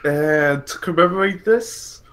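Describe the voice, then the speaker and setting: A man's voice: on the high side, a little slow, fairly bright tone. A man hosting a casual gaming talk show, thinking aloud and correcting himself in a conversational way. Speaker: podcast host.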